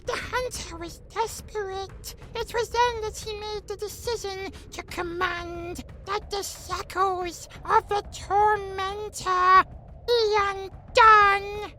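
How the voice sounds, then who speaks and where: A performer voicing a small, childlike sprite in a fantasy audio drama, telling a tale earnestly and haltingly. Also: extremely high pitch, little pitch movement. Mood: earnest, solemn. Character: small, childlike sprite lord giving solemn testimony. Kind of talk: fantasy audio roleplay acting.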